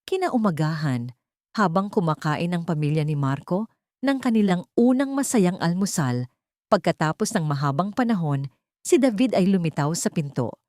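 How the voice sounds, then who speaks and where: A woman's voice: fairly low pitch, animated, moderate pace, a little mellow, dry recording, clean studio sound. A woman narrating a scripted story in a dramatic audiobook style.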